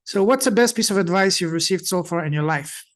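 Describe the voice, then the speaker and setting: A man hosting a podcast interview, with a voice pitched a little higher than most men's. He speaks in an encouraging, motivational way, praising his guest and offering help. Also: fairly quick. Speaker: podcast host.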